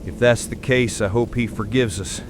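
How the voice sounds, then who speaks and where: A man's voice: fairly even intonation, close to an everyday voice. A man playing a weary, middle-aged county sheriff, giving a grave, folksy emergency broadcast in a horror audio drama. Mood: resigned, grave. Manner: folksy, slow, resigned, grave. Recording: noisy survivor radio transmission, vehicle-like rumble.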